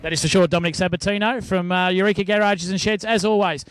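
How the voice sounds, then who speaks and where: Male Australian motorsport interviewer, high voice, rapid and crisp delivery. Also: steady tone, fairly bright tone. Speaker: trackside interviewer at a raceway. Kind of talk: post-event interview and sign-off.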